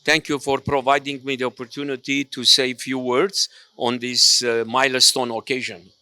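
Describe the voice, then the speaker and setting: A man's voice: medium pitch, level tone, normal speed, sharp tone, dry recording. A man, a provincial legislator, answering formally in a speech-like way. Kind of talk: event interview answer.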